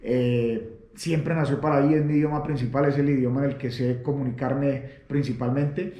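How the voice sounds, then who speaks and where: A Latin American man hosting an interview, talking sincerely and haltingly with many fillers. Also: mid-range voice, fairly even intonation, highs cut off. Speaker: host. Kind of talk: interview conversation. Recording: roomy echo.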